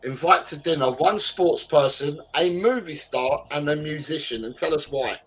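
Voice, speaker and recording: A male podcast host running a relaxed panel chat over a video call. He is emphatic and likes to repeat his points for weight. His voice sits a little higher than most men's. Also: normal speed, a little hiss or hum, rough recording.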